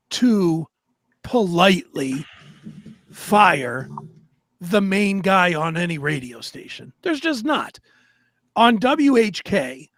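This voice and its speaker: High-pitched, animated, blunt man. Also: no room sound. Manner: blunt, profane, emphatic. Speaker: talk show co-host. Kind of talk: opinionated talk show chat.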